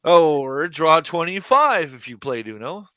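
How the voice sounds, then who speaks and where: Lively man wisecracking, dry recording. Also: fairly high pitch, measured pace, no echo. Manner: wisecracking, sardonic. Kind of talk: casual banter, swearing.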